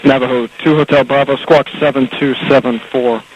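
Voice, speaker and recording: A man working as an air traffic controller, giving procedural information in a halting, matter-of-fact way over radio. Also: medium pitch, steady tone, lo-fi sound. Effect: aviation radio and landline. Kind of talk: radio phraseology, procedural position briefing.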